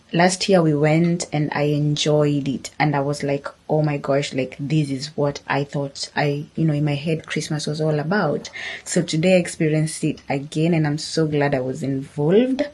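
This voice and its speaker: A woman's voice: low-pitched, a little mellow, breathy edge. A woman chatting casually and earnestly to her viewers as a vlogger, in an informal way. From Kenya. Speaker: vlogger, host.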